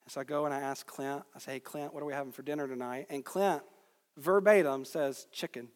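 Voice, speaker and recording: A man from Georgia in the United States preaching an expository sermon to a congregation, teaching with deliberate emphasis on key words. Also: fairly high pitch, fairly even intonation. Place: large reverberant room, speaking to a congregation. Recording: long reverb of a large hall.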